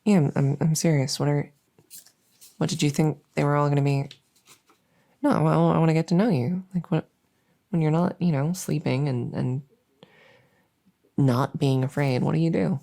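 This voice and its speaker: A harmless, silly female monster from under the bed, played by a woman in an ASMR audio roleplay. Her voice is low, soft and mellow, with a creaky edge. She rambles haltingly and earnestly, like an awkward interviewer. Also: fairly even intonation. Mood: awkwardly earnest. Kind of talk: ASMR audio roleplay, one-sided improvised-sounding interview.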